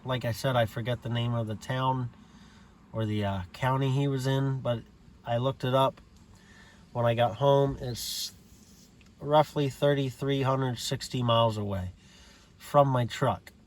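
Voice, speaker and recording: An American man giving a casual, unscripted video tour as a hobbyist, in a halting, plainspoken way with pauses mid-sentence. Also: medium pitch, steady tone. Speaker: amateur radio hobbyist giving a setup tour.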